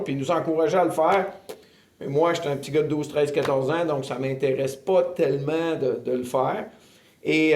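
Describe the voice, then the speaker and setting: A man in his fifties with a Québécois accent, earnestly telling his faith testimony in an interview, often pausing with filler sounds. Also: sits high. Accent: Québécois French. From Quebec, Canada. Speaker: ministry director giving testimony. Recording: roomy echo.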